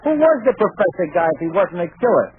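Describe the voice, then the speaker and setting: Tough 1940s gangster, lively and high-pitched. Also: male voice, quick pace, phone-quality sound. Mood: menacing, sardonic. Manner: slangy, ungrammatical tough-guy talk. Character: tough wisecracking gangster gunman, slangy and menacing. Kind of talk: 1940s radio comedy acting. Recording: noisy, phone-thin 1940s radio recording.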